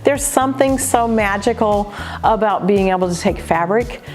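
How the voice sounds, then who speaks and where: A woman's voice: mid-range voice, normal speed. A woman who owns a quilt shop, giving an earnest, emphatic customer testimonial. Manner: earnest, emphatic, restarts when moved. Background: faint background music.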